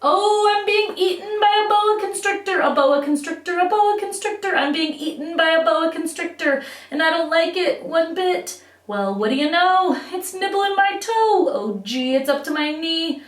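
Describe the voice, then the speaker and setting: A woman's voice: very high voice, expressive, normal speed, hardly any pauses, voice pushed up. A woman voicing a panicked victim being swallowed by a boa constrictor in a children's poem, exclaiming in sing-song alarm. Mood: comic alarm. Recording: roomy echo, distant microphone.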